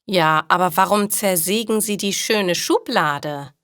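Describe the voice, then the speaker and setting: A woman's voice: lowish voice, measured pace, a bit bright, dry recording, studio quality. A woman voicing an insistent, meddling but friendly male neighbour in a language-learning story, speaking politely and emphatically. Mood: puzzled, insistent.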